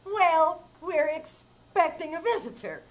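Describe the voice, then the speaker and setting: A woman's voice: very high voice, lively intonation, slow, full character voice. A woman playing a scatterbrained, cheerful wife in a live reenactment of an old-time radio comedy, rambling sweetly. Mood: chirpy. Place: small theater stage.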